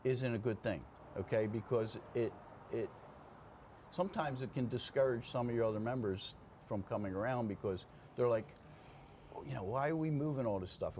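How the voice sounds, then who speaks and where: An older man with a middle-pitched voice talks as a veteran fire officer in a casual interview. He is plainspoken and often checks that he is being followed. Noticeable noise sits behind his voice. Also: normal speed, a little mellow. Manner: plainspoken, checks in with "okay?" and "you know". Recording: clear background room noise.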